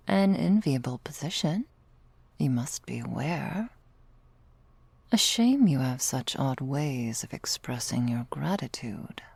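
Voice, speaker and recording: A very slow, low-pitched female vampire lover, acted by a woman murmurs in an intimate ASMR roleplay, half whispered and breathy. She is drowsy, possessive and teasingly fond, with languid, courtly phrasing and a creaky edge. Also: dark tone, dry recording, full character voice. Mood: smug, cosy. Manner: languid, formal archaic wording, teasing. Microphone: close microphone, dry.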